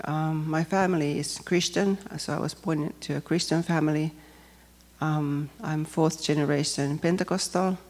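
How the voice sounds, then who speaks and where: A woman from Finland with a Finnish accent, speaking English as a second language and giving a personal testimony in a careful, hesitant way with frequent pauses. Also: deep voice, fairly even intonation, darkish tone. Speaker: church member giving testimony.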